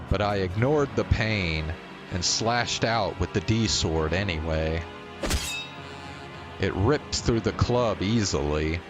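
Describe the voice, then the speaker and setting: A man streaming a visual novel, reading its first-person text aloud as dramatic narration in deliberate, measured phrases. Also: low voice.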